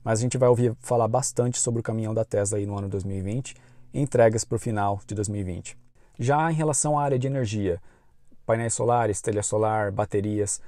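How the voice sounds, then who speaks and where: A man with a Brazilian Portuguese accent speaks in a mellow, relaxed voice at a medium pitch. He delivers informative commentary as a channel host, explaining things in a measured way. The recording is very dry, with no room sound around the voice. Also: no echo.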